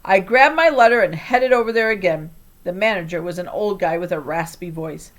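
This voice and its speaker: A woman's voice: fairly low pitch, lively intonation, normal speed. A woman reading a children's novel aloud as a scripted first-person story. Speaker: audiobook reader.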